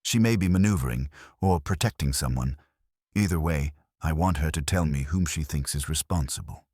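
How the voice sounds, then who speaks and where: An imperious armchair detective, played by a male narrator, talks in an extremely low voice. He is formal, precise and analytical, weighing each phrase in a mystery audiobook, with a dry, close sound. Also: normal speed, a little mellow, breathy edge, dry recording. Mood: shrewd, commanding.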